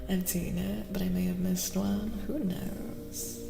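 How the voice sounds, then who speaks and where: A woman hosts a soft-spoken ASMR tarot stream, close and intimate with her listeners. Her delivery is slow and often drops into a whisper with a creaky edge. The recording is washed in long echoing reverb with clear noise behind it. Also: fairly low pitch, little pitch movement, over music. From United States. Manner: intimate, addresses listeners by name with endearments. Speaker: livestream host and tarot reader. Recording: noisy, echoey with long reverb.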